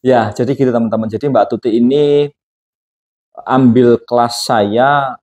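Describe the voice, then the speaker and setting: A man's voice: medium pitch, fairly even intonation, moderate pace, a little mellow. A man hosting a casual interview, prompting his guest with many questions and tag phrases. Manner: chatty, prompting questions with frequent tags. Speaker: host and hypnosis teacher.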